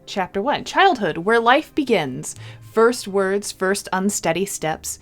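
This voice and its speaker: A woman's voice: mid-range voice, sing-song, slow pace. Woman reading a game aloud over music. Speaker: game streamer. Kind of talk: let's-play reading of a visual novel aloud with casual commentary.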